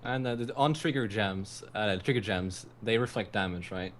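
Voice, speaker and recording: A man's voice: on the low side, moderate pace, slight background noise. A man from Norway, a guest in a casual gaming chat. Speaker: podcast guest, gamer.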